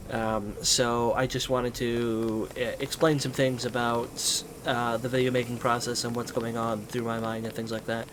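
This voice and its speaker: A stuffy-nosed American man gives loose, wandering commentary over his gameplay at a mid-range pitch. He sounds congested and a little weary, filling his pauses with ums. A steady hiss of background noise sits under his voice. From United States. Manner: weary, rambling, many ums. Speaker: let's play host.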